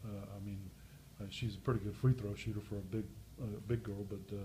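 A man reporter asks questions in a relaxed, chatty way, full of little fillers. His voice is low, dark and fairly flat, with faint room noise behind it. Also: fast, rough recording. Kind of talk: press conference questions.

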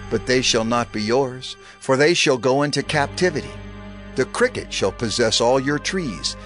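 A man's voice: mid-range voice, fairly bright tone. A man playing a stern prophet in a dramatized audio Bible, declaiming solemnly. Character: stern male prophet pronouncing divine blessings and curses. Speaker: scripture narrator. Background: music.

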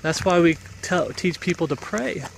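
A man's voice: on the high side, moderate pace, creaky, slight background noise, low-quality recording. A middle-aged man giving an informal, rambling sermon while walking outdoors on a wooded trail, recorded on a handheld phone. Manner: earnest, rambling, exhorting with fillers. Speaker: street preacher. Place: foggy woodland trail outdoors. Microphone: phone camera held at arm's length.

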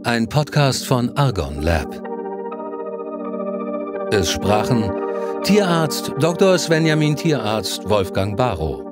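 Animated male announcer over music. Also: mid-range voice, takes it slowly, long pauses. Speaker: podcast announcer. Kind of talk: scripted credits and outro narration.

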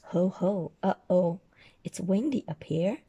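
A woman voicing a kindly, flustered old Santa from a children's storybook, with a hoarse voice. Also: on the low side, slow, mellow, heavily acted. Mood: blustery.

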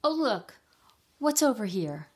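An eager, naive little storybook hen, acted out by a woman reading aloud. The voice is lifted high and swings up in exclamations, drawn out slowly for young listeners. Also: big pitch swings, breathy edge, heavily acted. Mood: excited. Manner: exclaiming, eager, child-directed. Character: curious, naive, delighted female hen hunting for a hat.

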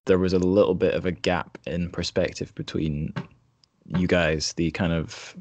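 A man's voice: low voice, level tone, normal speed, darkish tone, slight background noise. A man hosting a podcast in a casual, conversational way, with wry, dry understatement. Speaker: podcast host. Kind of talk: casual interview chat.

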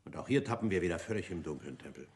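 An actor playing a gentlemanly senior police chief, emphatic and frank, in a German radio crime drama. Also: male voice, deep voice, lively intonation, very fast. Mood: grave.

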